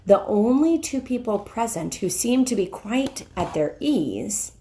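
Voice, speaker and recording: Slow, expressive woman reading a story. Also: on the high side, a little hiss or hum. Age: middle-aged. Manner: slow, deliberate, dramatic narration. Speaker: teacher reading a book aloud. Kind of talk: expressive children's story read-aloud. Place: small wood-ceilinged room indoors. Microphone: handheld phone at arm's length.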